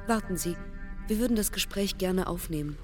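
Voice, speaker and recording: A woman acting a formal, effusive interviewer in a radio drama, encouraging and smoothly steering the talk. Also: mid-range voice, moderate pace, recorded from a distance, faint music behind, lightly acted. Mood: businesslike.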